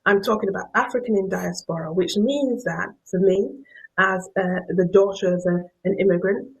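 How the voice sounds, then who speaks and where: Husky-voiced woman with a Yorkshire accent. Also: mid-range voice, moderate pace, low-quality recording. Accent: Yorkshire English. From Yorkshire, England. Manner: repeats words while searching for the next phrase. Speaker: professor giving a guest lecture. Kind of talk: academic lecture.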